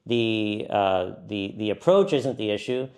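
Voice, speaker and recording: Middle-aged man, low register, relaxed interviewee who explains with fillers. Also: a little slow. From California. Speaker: company founder and CEO. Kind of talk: conversational interview.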